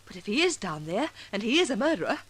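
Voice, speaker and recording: A woman's voice: a little high, very animated, fast talker, a bit bright, slightly rough, full character voice. An actress playing an anxious, loyal female companion to a sleuth in melodramatic 1940s radio acting. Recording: noisy vintage radio recording with clicks.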